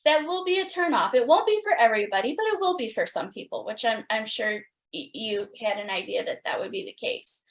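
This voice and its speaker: A woman with a fairly high voice hosts a casual interview. She talks like an opinionated fan, stating her views with emphasis. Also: sing-song, a little fast, hardly any pauses. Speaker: podcast host and interviewer.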